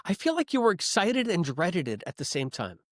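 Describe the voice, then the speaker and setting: A man's voice: sits high, huge pitch swings, moderate pace, no room sound, studio quality. A man co-hosting a podcast in a casual, chatty review style. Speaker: podcast co-host. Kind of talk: casual review chat with recaps.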